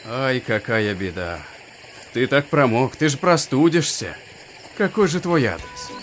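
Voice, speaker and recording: A man's voice: mid-range voice, expressive, unhurried, noisy background, lightly acted. A man voicing a concerned, kindly grown-up in a children's cartoon, fussing as he speaks. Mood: alarmed, caring.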